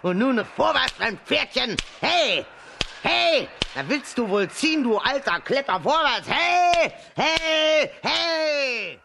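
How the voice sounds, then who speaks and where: A man playing a gaunt, taunting old witch woman in a children's radio play, with a creaky, sharp voice. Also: extremely high pitch, sing-song, slow pace, full character voice. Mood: frenzied shouting. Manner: taunting, commanding.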